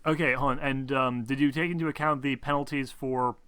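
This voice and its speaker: Bright-voiced man running a tabletop game. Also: mid-range voice, fairly even intonation, a little fast, clear, resonant tone. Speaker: game master. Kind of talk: improvised narration of a tabletop game.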